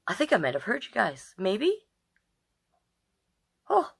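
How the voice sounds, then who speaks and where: A woman's voice: mid-range voice, wildly swooping pitch, very slow, vocal fry, slightly rough. A woman hosting a children's storytime, chatting directly with viewers and reading picture books aloud in a casual way. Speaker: storytime host and reader.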